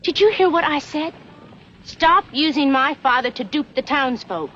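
A woman's voice: high voice, lively intonation, normal speed, fairly bright tone, slight background noise. A young woman playing an earnest, indignant frontier crusader in a TV western. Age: young adult. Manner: earnest, insistent. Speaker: actress in a television western. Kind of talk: 1960s TV western acting. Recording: dull vintage TV soundtrack.

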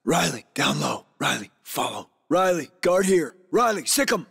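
A hard-bitten special forces leader for a military video game. The voice is bright and forceful, with a very wide-swinging pitch. Orders come in clipped tactical jargon with firm command. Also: male voice, sing-song, normal speed, vocal fry, husky, full character voice. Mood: quiet, focused. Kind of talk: video game combat voice lines.

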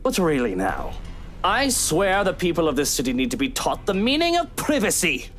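A woman's voice: on the low side, huge pitch swings. Girl putting on a snobby menacing game villain guy, sneery n theatrical, music behind. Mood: sneering, threatening. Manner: sneering, theatrical, drawn-out taunting. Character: smug, menacing rich man, contemptuous of intruders.

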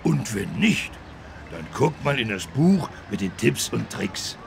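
A man voicing a big, good-natured, childlike talking elephant with a gravelly voice in a children's game. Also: fairly low pitch, big pitch swings, measured pace, noisy background, full character voice. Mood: jolly, friendly. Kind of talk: children's educational game voice acting.

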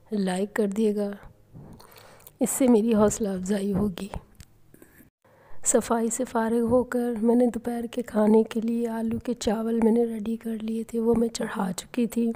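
A middle-aged woman calmly narrating her home cooking and daily routine vlog. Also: mid-range voice. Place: home kitchen and rooms.

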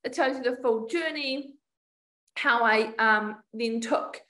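A woman's voice: a little high, takes it slowly, sharp tone. A woman in her forties with a New Zealand accent, a business coach talking frankly on a video call interview from a room at home. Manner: chatty, frank, many restarts. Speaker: podcast guest, business coach. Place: home room with door and painting. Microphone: video call webcam.

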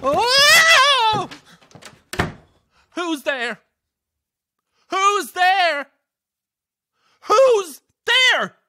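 An actor playing a haughty, jumpy older Irish pantomime star in an audio drama, theatrical and imperious. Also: male voice, very high-pitched, big pitch swings, drawn-out words, pauses often, pushed and bright, no room sound, studio quality, heavily acted. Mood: frightened, indignant. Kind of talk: audio horror-comedy drama acting.

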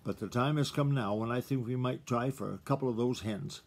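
A man voicing a shrewd old female fox who lectures calmly, in a husky voice. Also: medium pitch, a little fast, close to an everyday voice. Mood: lecturing. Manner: lecturing, matter-of-fact.

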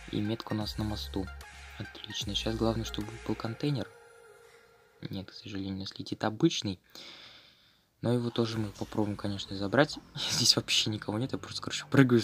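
A man's voice: lowish voice, fairly bright tone. A man giving casual, slangy running commentary on his gameplay for viewers, as host of a gaming video.

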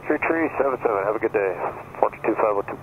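Casting: male pilot, lowish voice, quick clipped readbacks over a radio link. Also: expressive, narrow, tinny audio. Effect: aviation radio. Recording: thin radio with clear noise.

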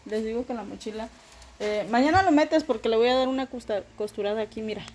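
A woman's voice: fairly high pitch, fast talker, darkish tone. Woman, 30s, home vlogger; casual friendly chatter into a phone in a small kitchen, faint household noise. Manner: chatty, addresses viewers as friends. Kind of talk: casual home vlog chat.

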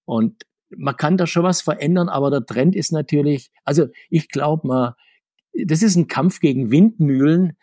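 A middle-aged man with a slightly husky voice answers questions in a loose, rambling way, often breaking off and restarting. His pitch jumps up when he stresses a point. The sound is dry and close, like a video call from an indoor room. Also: moderate pace, mellow, no echo. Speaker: comics author and guest. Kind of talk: conversational interview answers.

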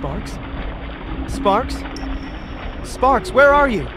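A man's voice: very high-pitched, big pitch swings, drawn-out words, background noise, exaggerated voice. An actor voicing an earnest, uneasy young male dragon hero in a video game, with a questioning manner. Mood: worried.

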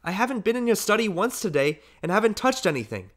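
A man reading aloud, voicing a gentle seven-year-old boy in a soft, high, pleading voice. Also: big pitch swings, fast talker. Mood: bewildered.

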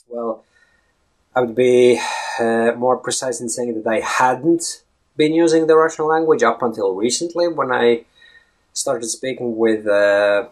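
A man in his thirties with a Latvian accent, a native Latvian speaker giving casual advice on a video blog, chatty and often restarting words, recorded by a webcam in a small indoor room. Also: mid-range voice. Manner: chatty, self-correcting, stretches words for emphasis. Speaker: English fluency blogger. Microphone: webcam at head-and-shoulders framing.

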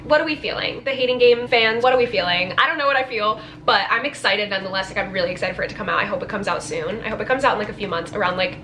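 A woman in her twenties giving a casual, expressive, informal vlog reaction with lots of "like". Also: mid-range voice, rapid-fire, few pauses, slight background noise. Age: 20s. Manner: expressive, informal, filler-heavy "like". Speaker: book vlogger. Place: small bedroom, indoors.

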